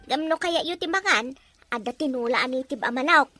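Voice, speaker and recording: A woman playing an excitable, sweet young woman who gushes and exclaims in a melodramatic audio drama. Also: high voice, brisk. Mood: chatty, eager. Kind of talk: melodramatic radio-style drama acting.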